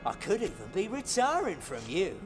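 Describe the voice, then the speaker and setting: A man playing an excitable, colloquial hired gunman in a video game. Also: high-pitched, normal speed. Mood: chatty, sly. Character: nervous, chatty hired gunman, cocky working-class henchman. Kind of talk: video game cutscene acting. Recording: loud music under the voice.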